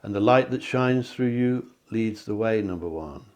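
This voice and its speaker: A man, a psychic hosting a card reading, talking in a chatty, encouraging way that often corrects itself, his voice a little hoarse. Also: on the low side, normal speed, a little mellow. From England. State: slightly hoarse, clearing throat. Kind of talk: tarot-style card reading to camera. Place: home near the sea.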